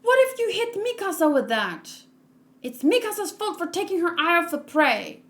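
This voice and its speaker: An urgent young soldier played by a woman in a comic read-along. The voice is raised in pitch, clipped and brisk. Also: big pitch swings.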